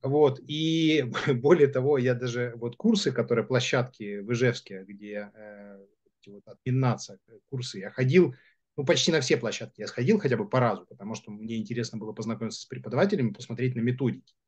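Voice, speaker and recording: Fast-talking husky man, careful wording. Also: mid-range voice, a little mellow, dull audio. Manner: searching for words, careful not to offend. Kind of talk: casual panel talk.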